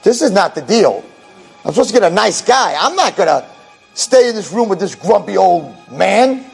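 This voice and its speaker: A man's voice: high-pitched, very animated, normal speed, creaky, slightly rough, soft background music, voice pushed up, exaggerated voice. A man voicing a kind, cheerful old artist in a wheelchair in a spoken story, talking in an earnest, insistent way. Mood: exasperated.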